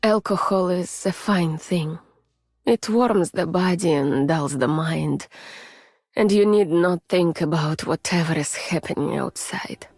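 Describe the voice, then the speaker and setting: Slow breathy russian lady scientist, gentle and weary, game character, very close and whispery, music behind. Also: on the low side, no room sound. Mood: wistful, quiet. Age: adult, mature. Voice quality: soft, breathy, often near a whisper. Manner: measured, formal, restrained. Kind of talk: soft, intimate ASMR-like game voice acting.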